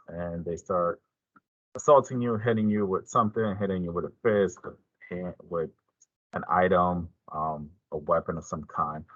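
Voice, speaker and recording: A man with a low, husky voice presents as a police detective. He explains procedure plainly and informally, with a forceful edge to his tone. The recording is somewhat dull. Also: no room sound.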